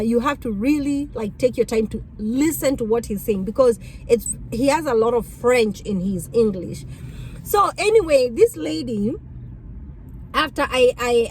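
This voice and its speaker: A woman with a Kenyan accent, whose first language is Kikuyu, telling stories about being a rideshare driver in an animated, exclamatory way. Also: sits high, slight background noise, rough recording. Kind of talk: casual storytelling vlog.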